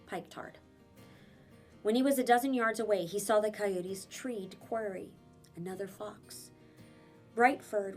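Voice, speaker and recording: A woman narrates a children's chapter book like a teacher reading to a class. Her delivery is dramatic and expressive, with emphatic phrases and deliberate pauses. Her voice sits at a medium pitch, with music faintly behind it.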